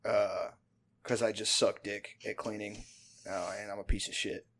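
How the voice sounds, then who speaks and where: A man's voice: on the low side, little pitch movement, moderate pace, fairly bright tone. A man, hosting a casual chat in a rambling, profane, self-deprecating way. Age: 50s. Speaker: podcast host.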